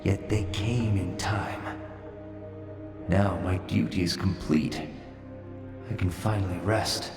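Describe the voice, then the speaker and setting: A man's voice: sits low, steady tone, slow pace, vocal fry, long pauses, full character voice. A man playing a solemn, weary guardian of an ancient temple in a video game, speaking in a grave, formal, imposing way. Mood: solemn relief. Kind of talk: scripted video game cutscene acting. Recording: echoey hall, distant, music behind.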